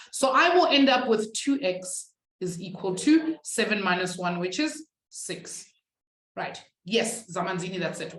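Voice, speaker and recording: A woman's voice: on the high side, echoey room. A young woman who is not a native English speaker, teaching a live online maths class from a webcam and chatting with students. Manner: chatty, reads out student chat, laughs at herself.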